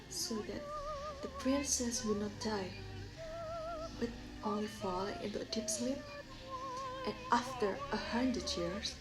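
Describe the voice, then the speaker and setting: A woman voicing a kind, caring good fairy in a storybook tale, gentle and reassuring. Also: fairly high pitch, voice pushed up, rough recording.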